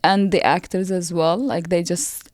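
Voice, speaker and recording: A woman from Palestine whose first language is Arabic, speaking as a playwright guest in a relaxed interview, thoughtful and often repeating words as she searches for them. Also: lowish voice, measured pace, dry recording, studio quality. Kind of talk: casual interview conversation.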